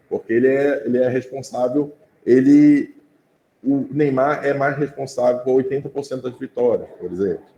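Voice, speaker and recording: A man's voice: mid-range voice, warm tone, lo-fi sound. A man with a Brazilian Portuguese accent teaching an informal class, talking conversationally and often checking in with his students. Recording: roomy echo.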